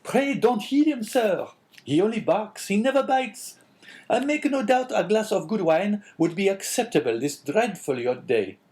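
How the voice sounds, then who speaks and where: A man acting a high-pitched, fawning innkeeper. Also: sing-song, normal speed, darkish tone. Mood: eager, fussing. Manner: fawning, effusive, talkative. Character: obsequious, chatty male French innkeeper, eager to please.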